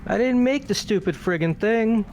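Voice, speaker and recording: A man's voice: high voice, moderate pace, darkish tone. A man voicing a goofy, clueless young guy who protests in a whiny, slangy way, in a fan-dubbed visual novel. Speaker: voice actor in fan game playthrough. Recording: music under the voice.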